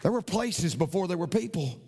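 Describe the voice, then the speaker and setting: Male pastor, lively high voice, long hall reverb, storytelling preacher. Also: normal speed, some chatter behind, slight background noise. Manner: animated, builds to shouted preaching. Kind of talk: sermon storytelling.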